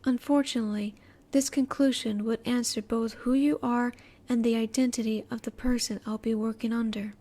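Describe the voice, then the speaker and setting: A woman voicing a curious, reserved female alien scientist in a roleplay audio, speaking politely in formal, measured, halting phrases. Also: fairly high pitch, steady tone, mellow, lightly acted. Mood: apologetic, formal. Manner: formal, measured, precise wording with halting pauses. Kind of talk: scripted roleplay audio, spoken intimately to the listener.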